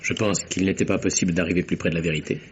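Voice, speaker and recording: A low-pitched man played by an actor speaks as an earnest private secretary in a vintage French radio mystery. He recounts with formal, precise care. The recording is dull, with faint background noise. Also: fairly even intonation, lightly acted. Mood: grave, composed. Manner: measured, formal, precise storytelling. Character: earnest male secretary recounting a deadly affair.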